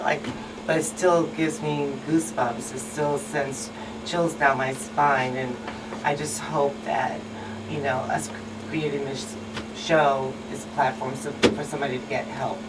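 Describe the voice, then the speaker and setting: A woman guest talking casually on a radio show in an earnest, somewhat halting way. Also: low-pitched, fairly even intonation, distant mic. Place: radio studio. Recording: noisy room, off-mic.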